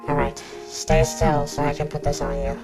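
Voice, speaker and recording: A low-voiced, guarded young outlaw played by a woman speaks in a curt, brooding way with a protective streak. It is an ASMR roleplay performance, with music playing underneath. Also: flat delivery, normal speed, natural voice. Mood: calm, guarded. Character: tough, guarded young male outlaw gunman.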